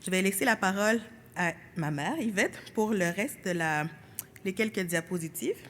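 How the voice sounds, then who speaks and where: A young woman co-presenting at a conference, reading a prepared presentation in a steady, formal way. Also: medium pitch, moderate pace. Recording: reverberant room with faint ambient noise.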